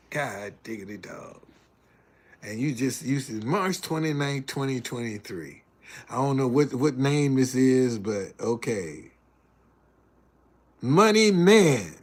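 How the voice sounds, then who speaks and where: A man in his seventies hosting a livestream, preaching about success and reading out viewers' messages in a folksy way. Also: medium pitch. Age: 70s. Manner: folksy, preacherly, reads chat messages aloud. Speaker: livestream host. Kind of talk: casual livestream talk and preaching.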